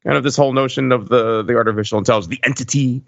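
A man co-hosting a podcast, casually discussing film in a halting way, repeating words and often checking in. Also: medium pitch, steady tone, gravelly, a bit bright, dry recording, dull audio. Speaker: podcast co-host.

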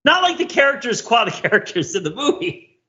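Bright, lively man, slightly dull recording. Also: very high-pitched, a little fast. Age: 40s. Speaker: podcast co-host. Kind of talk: casual chat.

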